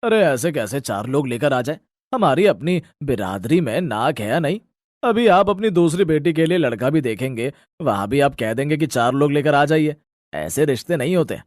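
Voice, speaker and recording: A man's voice: on the high side, very animated, normal speed, darkish tone, no echo, studio quality. A man voicing a proud, demanding father of a groom with an indignant manner in an audio story. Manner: indignant, haughty.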